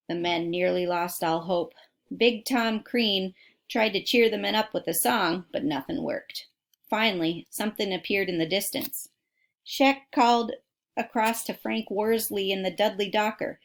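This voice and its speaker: A woman's voice: medium pitch, unhurried, vocal fry. A woman reading a children's nonfiction story aloud like a teacher, with dramatic emphasis and direct asides to her listeners. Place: at home.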